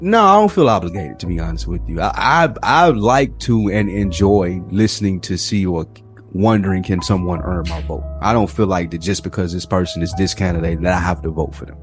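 A man from the United States co-hosting a casual podcast, explaining his views in a laid-back, deliberate way. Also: sits low, soft background music. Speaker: podcast co-host. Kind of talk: casual conversational podcast discussion.

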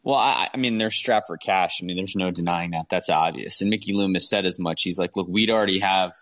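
A man with a fairly low voice talks sports casually as a podcast co-host. He is opinionated and emphatic, circling back to hammer his points. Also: fairly quick, fairly bright tone.

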